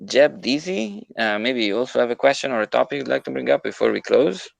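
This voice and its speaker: A man's voice: medium pitch, expressive, brisk, creaky, no room sound. A man chatting casually as co-host of an online talk show, explaining things step by step and giving encouraging advice. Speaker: co-host answering questions on a live audio show.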